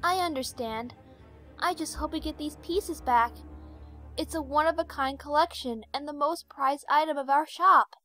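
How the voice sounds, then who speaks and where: An actress playing a polite, sincere young pony shopkeeper who speaks earnestly in an audio drama. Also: female voice, sits high, normal speed, sharp tone, faint music behind, low-quality recording. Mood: polite, sympathetic.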